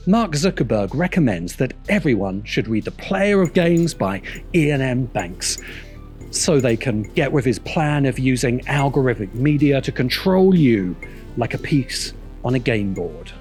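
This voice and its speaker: A man's voice: mid-range voice, sing-song, measured pace, a little mellow. A man delivers scripted, wry commentary in a mid-range voice. He sounds like a critical video essayist, with faint music running behind him. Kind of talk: scripted narration.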